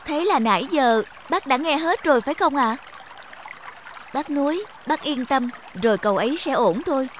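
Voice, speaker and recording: A woman voicing a gentle, caring elder-sister lake spirit in a children's cartoon fable, soothing and reasoning. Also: high voice, animated, moderate pace, a little hiss or hum. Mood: reassuring.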